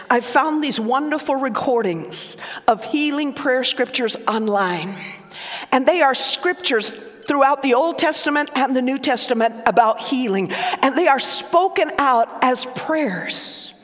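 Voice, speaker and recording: A man from Oklahoma with a Southern accent, preaching a fervent sermon as pastor, rising to shouts and falling to hushed whispers. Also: extremely high pitch, long reverb tail. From Oklahoma, USA.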